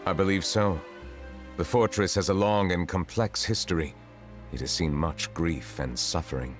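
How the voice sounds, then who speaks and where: An actor playing a dignified dragon lord in human guise for a video game, speaking in a formal, measured, reserved way. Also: male voice, deep voice, lightly acted. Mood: solemn. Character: dignified male water dragon sovereign in human guise, solemn. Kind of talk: English video game voice acting. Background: game music.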